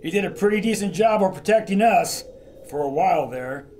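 Male actor as wisecracking gunman, high-pitched, animated, blunt, sarcastic, radio adventure. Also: fairly quick, a little hiss or hum. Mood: easygoing. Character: brash wisecracking gun-toting adventurer. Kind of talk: radio adventure drama acting.

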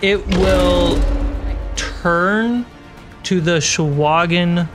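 A man acting as game master, narrating a tabletop roleplaying game with dramatic pauses. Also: sits high. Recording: loud cinematic music behind. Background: cinematic music and sound effects.

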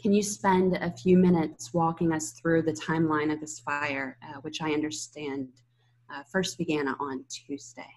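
A woman's voice: deep voice, fairly even intonation, measured pace, a little mellow, low-quality recording. A woman in her thirties moderating an online public briefing, speaking from an indoor room on a video call. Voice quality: husky, creaky.

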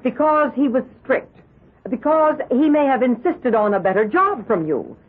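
On a muffled 1970s radio recording, a sceptical female prosecutor. Her husky voice swings widely as she presses every question with pointed insistence. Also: sits high, expressive, moderate pace. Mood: pressing, accusing. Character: stern, sceptical female prosecutor cross-examining a witness. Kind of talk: 1970s radio drama acting.

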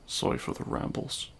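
A man playing a remorseful, self-loathing supervillain in an intimate audio roleplay, speaking in a halting, anguished way. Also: a bit bright, a little hiss or hum. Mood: tender sorrow. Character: remorseful male supervillain confessing love at a grave.

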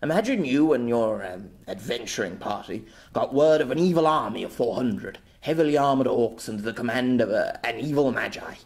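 Man playing old ex-knight, formal, flustered, halting, lively pitch. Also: lightly acted. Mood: explaining. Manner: formal, sighing, halting when embarrassed. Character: gruff older former knight, proper and flustered.

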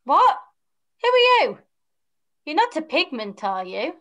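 A naive, eager young pantomime lad, played by a woman. The voice is high, with wide-eyed, stagey exclamations in an amateur read-through. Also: huge pitch swings, measured pace, fairly bright tone, full character voice. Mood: startled, eager. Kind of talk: amateur pantomime script reading. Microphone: computer mic on video call.

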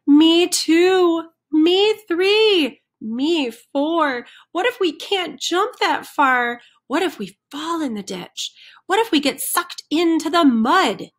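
A woman's voice: very high-pitched, lively intonation, slow, pitched above the performer's own voice, full character voice. A woman voicing cowardly, anxious storybook chickens that fret over every danger, chiming in one after another. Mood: fearful, fretting.

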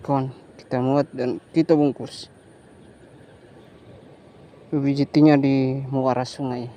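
Slow-paced, mellow man with playful catchphrases. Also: mid-range voice, level tone, muffled recording, a little hiss or hum. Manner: playful, repeats catchphrases and exclamations. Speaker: fishing vlogger. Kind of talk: casual commentary while fishing. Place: outdoors on a grassy river estuary bank.

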